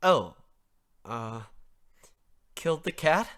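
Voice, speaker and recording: A woman playing a frightened, flustered young boy in an audio drama, stammering and halting as he pleads. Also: low voice, expressive. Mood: confused. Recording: roomy echo with music underneath.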